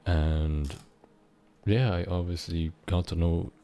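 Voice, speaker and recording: A young man of about nineteen from Switzerland, rambling unscripted in a halting, meandering way while he plays a game, with casual swearing. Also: sits low, fairly even intonation, warm tone. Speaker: gaming vlogger.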